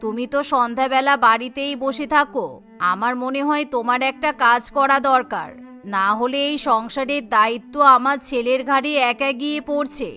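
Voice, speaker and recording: A woman puts on an older in-law from a poor cartoon family. The voice is lowered and measured, practical and concerned, with music playing behind. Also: bright, forceful tone. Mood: concerned, nudging.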